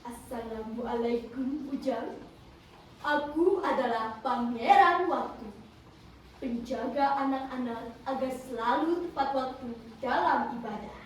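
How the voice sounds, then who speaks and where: Woman as a calm, stately guardian prince, lowered voice. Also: slow. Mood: calm authority. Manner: calm, authoritative, gentle. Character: calm, dignified tall princely guardian of time, male. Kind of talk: theatrical children's storytelling. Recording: roomy, distant mic with background noise.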